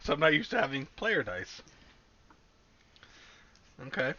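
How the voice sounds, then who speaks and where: A man chatting excitedly and casually as a player in an online tabletop game, slangy and swearing freely. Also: fairly high pitch, a little slow, muffled recording, noisy background.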